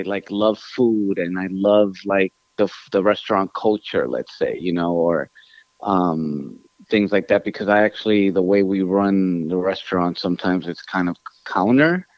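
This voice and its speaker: A low-voiced man rambles through his story in a podcast interview, full of "um" and "you know", his voice leaping higher when he gets excited. The sound is dry and a little dull, as if from a modest mic. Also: no echo. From New York City, Queens, Ecuadorian family. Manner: rambling, many fillers and restarts, pitch jumps up when animated. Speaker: restaurant owner, guest. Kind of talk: casual interview talk.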